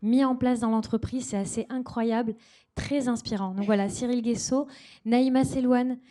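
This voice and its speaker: A woman's voice: fairly high pitch, fairly even intonation, normal speed, dark tone. A woman hosting a conference panel and introducing the speakers, with frequent hesitations and fillers. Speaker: event host. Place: conference stage.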